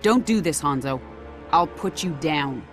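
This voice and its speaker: An actress playing a stern, authoritative military general in a video game, clipped and commanding. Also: female voice, low-pitched, animated, a little slow, faint music behind. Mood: stern, guarded. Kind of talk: dramatic video game cutscene acting.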